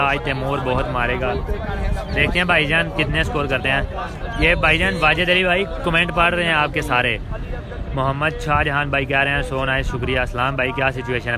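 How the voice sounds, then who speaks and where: A man calling a cricket match outdoors, announcing shots and greeting viewers between balls. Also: fairly high pitch. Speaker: livestream cricket commentator. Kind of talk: live commentary and viewer greetings. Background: crowd noise.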